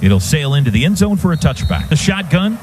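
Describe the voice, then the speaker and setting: A man's voice: a little high, big pitch swings, fairly quick, darkish tone. A man with an American accent calling live football on the radio in clipped, staccato phrases. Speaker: play-by-play sports announcer. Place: stadium radio booth. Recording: noisy live stadium radio broadcast.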